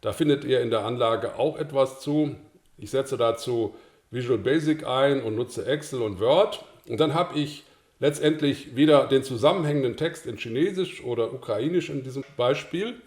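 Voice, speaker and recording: An older German-speaking man talks through a screencast lesson at his desk, calm and explanatory, in a mid-range voice. The small room around his webcam adds a noticeable echo. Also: medium pitch. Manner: calm, explanatory, with occasional filler sounds. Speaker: course instructor. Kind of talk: screencast tutorial.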